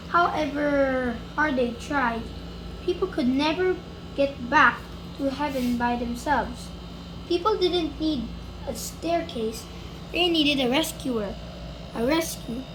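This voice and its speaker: A woman reading a children's Bible story aloud in an expressive storytelling way, with occasional stumbles and repeats. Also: high-pitched. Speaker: storybook reader. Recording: echoey, distant mic, loud background noise.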